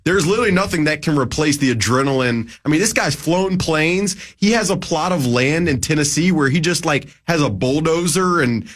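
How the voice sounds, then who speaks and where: A young man in his twenties chats as a guest on sports radio, his voice sitting a touch high. He rambles, piling up fillers and restarting his sentences as he goes, speaking into a studio mic. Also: expressive, moderate pace, few pauses, a bit bright. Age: 20s. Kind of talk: casual sports radio chat.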